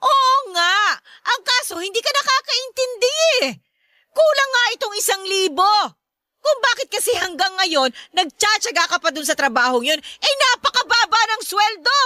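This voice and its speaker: Bitter nagging mother, Filipino accent, piercing high pitch, animated swings, radio drama. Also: moderate pace, no room sound, clean studio sound, exaggerated voice. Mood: scolding. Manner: scolding, demanding. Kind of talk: Tagalog radio drama acting.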